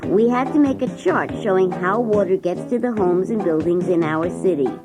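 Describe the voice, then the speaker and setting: A woman's voice: mid-range voice, moderate pace, music in the background, natural voice. A woman playing a schoolchild who narrates class adventures with wonder in a children's story. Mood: matter-of-fact storytelling.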